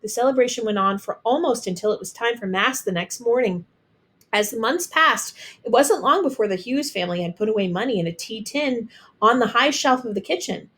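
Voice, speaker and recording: Higher-voiced woman reading a storybook aloud. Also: animated, brisk, fairly bright tone. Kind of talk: expressive children's read-aloud narration.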